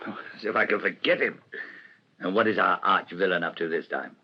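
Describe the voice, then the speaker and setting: An actor playing a genial, hearty English police commissioner in a radio play, avuncular and clubby. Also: male voice, low voice, brisk, husky. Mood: weary dismay. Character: genial, hearty senior Scotland Yard commissioner. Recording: dull old radio recording.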